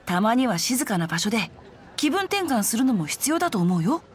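A woman's voice: a little high, very animated, normal speed, some chatter behind. A woman playing a polite, bookish teenage boy in an anime video game, speaking in a courteous, formal way. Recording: background game music.

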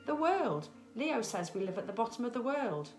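A woman as a curious, high-pitched penguin chick. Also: big pitch swings, normal speed, reverberant, soft background music. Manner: inquisitive, pleading. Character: Otto, curious young male penguin chick.

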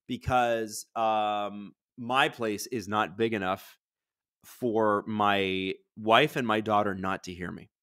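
A man in his thirties with a booming voice, hosting a casual live watch-along from a studio, chatting to viewers and breaking into excited play-by-play. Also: takes it slowly, clear, resonant tone. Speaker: livestream host.

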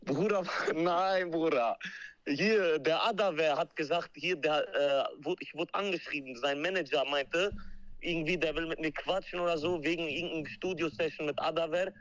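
A man's voice: a little high, moderate pace, fairly bright tone. A man on a phone call, chatting casually in street slang sprinkled with Arabic words. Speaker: phone caller. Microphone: phone call played into a stream. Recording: dull, band-limited phone call.

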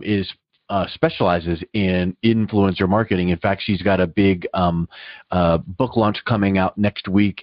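A man's voice: fairly low pitch, no room sound. A man hosting a business podcast interview in a conversational style, recorded with slightly dull audio.

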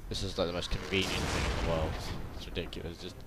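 Low-voiced playful man commentating a game. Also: level tone, quick pace, heavy background noise. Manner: playful, chatty, exclaiming with sound effects. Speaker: gaming video host. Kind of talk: casual let's-play commentary. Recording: game music behind, doubled game audio. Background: video game music and sounds.

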